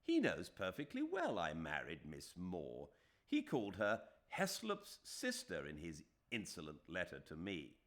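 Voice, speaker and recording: A man's voice: low voice, huge pitch swings, a little slow, full character voice. A man voicing a superior Englishman who speaks in a scathing, disbelieving way in an audiobook. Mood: brisk. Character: scornful Englishman, cool and superior.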